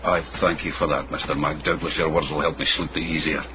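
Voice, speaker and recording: A wary fugitive in a period radio drama, his voice deep. He is sardonic and formal in his phrasing. The recording is muffled and heavy with noise. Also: steady tone, fast talker. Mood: wry, uneasy.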